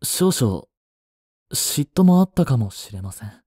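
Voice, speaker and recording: Casting: male actor as a cool young butler, formal, devoted and jealous, dark mellow tone, close dry sound, romantic audio drama. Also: mid-range voice, sing-song, unhurried, breathy edge, dry recording. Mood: apologetic, sulky. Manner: formal, polite to his mistress, curt to rivals.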